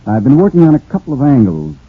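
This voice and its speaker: An actor playing a gruff, blunt, tough-talking man with a short temper in 1940s radio drama style. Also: mid-range voice, sing-song, quick pace. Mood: placating. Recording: noisy tinny 1940s radio recording.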